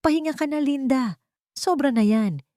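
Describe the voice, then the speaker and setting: A tender old husband's spirit in an audio story, acted by a woman. The voice is soothing and lifted high, in a dry recording. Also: normal speed, dark tone, no echo, close to an everyday voice. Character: gentle spirit of a late elderly husband.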